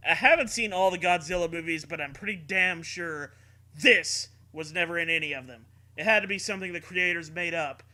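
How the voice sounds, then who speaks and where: A man's voice: fairly high pitch, fairly quick, pushed and bright. A man hosting a comedy show, reading a horror story aloud with mocking, sweary commentary. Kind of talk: dramatic reading mixed with joking commentary. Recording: music behind the voice.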